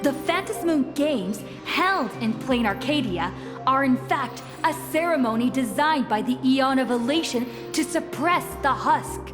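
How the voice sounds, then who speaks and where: Formal, sincere young envoy; reverberant, music under. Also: female voice, high-pitched, expressive, a little slow. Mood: earnest. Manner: earnest, formal. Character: earnest young female envoy pleading before a council. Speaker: game character. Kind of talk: video game voice acting. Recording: long reverb over music.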